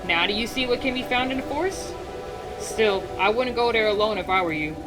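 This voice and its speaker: A woman's voice: on the high side, brisk, over music, pitched above the performer's own voice, close to an everyday voice. A woman putting on a sly teasing fox girl. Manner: playful, mocking, coaxing. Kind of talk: reading game dialogue aloud.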